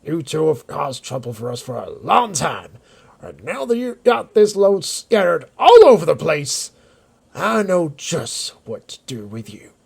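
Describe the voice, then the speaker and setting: A stern company manager acted by a man with a high, swooping voice full of creak. He sounds authoritative and exasperated, scolding in audio-drama style with a slightly rough edge. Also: sing-song, unhurried, heavily acted.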